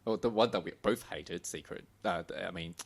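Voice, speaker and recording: A man's voice: deep voice, brisk, slightly rough. A man in his thirties co-hosting a podcast in casual chat. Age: 30s. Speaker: podcast co-host.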